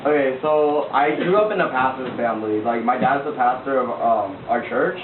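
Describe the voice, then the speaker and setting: A teenage boy earnestly telling his faith testimony as a story, with lots of filler words and repetition. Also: moderate pace. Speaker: youth sharing testimony. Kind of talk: casual spoken testimony to a group. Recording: echoey, distant, tinny low-fi recording with background noise.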